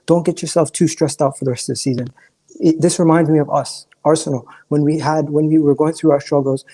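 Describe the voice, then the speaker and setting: A hoarse man calling in from a remote line, talking candidly and at length with frequent restarts. His voice sits a bit high for a man and has a dark, mellow tone. His throat keeps catching as he talks. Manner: candid, rambling, many restarts. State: hoarse, coughing. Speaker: caller. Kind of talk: casual chat on a fan livestream.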